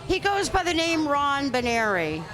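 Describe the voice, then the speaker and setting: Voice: high-pitched, very animated, normal speed, a crowd in the background, rough recording. A performer playing a prim, haughty old lady in an amateur comic radio play, speaking in formal, wordy phrases. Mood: polite, urgent. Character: prim old lady seeking her stolen dentures.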